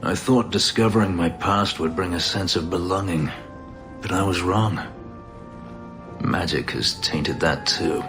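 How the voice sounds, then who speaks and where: A man playing a bitter, brooding former slave warrior in a video game drama, with a gravelly voice. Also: low-pitched, measured pace. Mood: bitter, desolate. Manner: brooding, embittered. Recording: loud music behind the voice.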